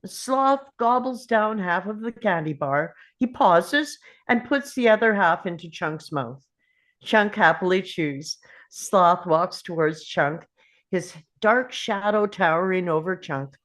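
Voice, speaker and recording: A woman's voice: fairly low pitch, lively intonation, takes it slowly, fairly bright tone. A woman reading screenplay stage directions as scripted narration at a table read.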